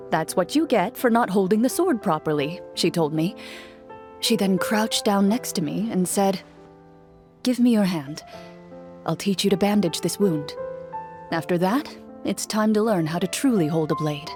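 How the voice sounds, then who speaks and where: Actress playing a composed young woman duelist, medium pitch, formal, unruffled, matter-of-fact, anime game with faint music. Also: expressive, a little slow, close to an everyday voice. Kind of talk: anime-style game voice acting.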